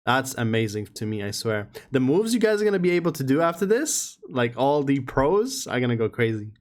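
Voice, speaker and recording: A man giving casual, informal and excited reaction commentary as a gaming YouTuber. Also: mid-range voice, brisk.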